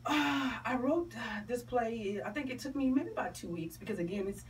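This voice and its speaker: A woman's voice: fairly high pitch, moderate pace, crowd noise. Distant-sounding Southern woman, emphatic radio guest. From South Carolina, USA. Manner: animated, emphatic, colloquial. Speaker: interview guest, playwright and motivational speaker. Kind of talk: radio interview, promotional chat. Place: small radio studio, indoors. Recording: distant mic, clear background room noise.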